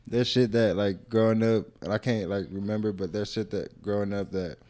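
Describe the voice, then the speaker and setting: A man's voice: on the low side, steady tone, normal speed, dark tone. A man as a podcast guest, chatting casually with loose, profane talk and easy laughter. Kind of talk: casual chat.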